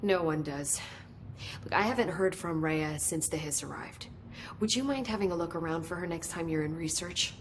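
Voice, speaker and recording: A woman's voice: lowish voice, a little hiss or hum, close to an everyday voice. A middle-aged woman voicing an enthusiastic research scientist in a game. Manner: brisk, gets carried away with enthusiasm. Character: excitable female research scientist.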